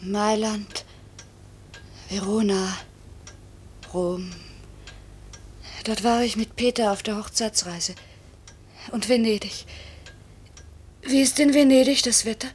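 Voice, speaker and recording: An actress playing a young, anguished, bitter pilot's wife with theatrical, deliberate phrasing in a 1950s German radio play. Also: female voice, medium pitch, a little mellow, slightly rough. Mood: wistful, grieving.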